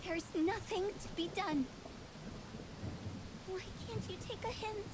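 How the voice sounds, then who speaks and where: A woman's voice: extremely high pitch, slow, a crowd in the background. A woman voicing an emotional young woman in a dramatic anime visual novel. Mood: angry, hurt. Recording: game audio with noisy background.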